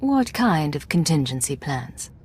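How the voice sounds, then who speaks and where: An actress playing a composed, assured female secret agent in a video game, measured and professional. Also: sits low, big pitch swings, darkish tone, slightly rough, exaggerated voice. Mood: calm.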